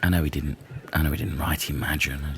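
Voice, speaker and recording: Man acting mild castaway, deep voice, hesitant, apologetic, rambling, improvised comedy drama, slightly noisy recording. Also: steady tone, fast talker, fairly bright tone.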